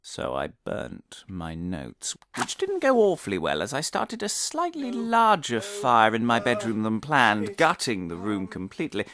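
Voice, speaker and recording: A man's voice: medium pitch, wildly swooping pitch, unhurried, hardly any pauses, crowd noise. Man acting a pompous paranoid professor, swooping delivery. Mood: earnest, self-satisfied. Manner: earnest, stammering, pompous, theatrical. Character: deluded paranoid male professor, eccentric conspiracy theorist. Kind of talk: scripted comic audio drama monologue.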